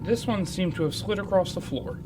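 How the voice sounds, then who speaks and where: A man playing a politely menacing elderly gentleman in a radio drama, with formal, overly courteous speech. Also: high-pitched, normal speed, over music, close to an everyday voice. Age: older. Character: kindly-seeming, sinister stout elderly gentleman, politely menacing.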